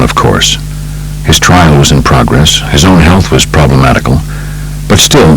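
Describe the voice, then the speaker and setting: An American man narrates a true crime book in an extremely deep voice. His delivery is measured and polished, like a practiced storyteller reading from a script. A light hum and some noise sit under the recording. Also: low-quality recording. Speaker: audiobook narrator.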